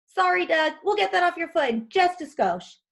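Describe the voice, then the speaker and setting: An excitable young man from a storybook time-travel adventure, acted out by a woman reader. He is loud and urgent, pitched well up as he calls out to his companions. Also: normal speed, gravelly, exaggerated voice. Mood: hurried apology.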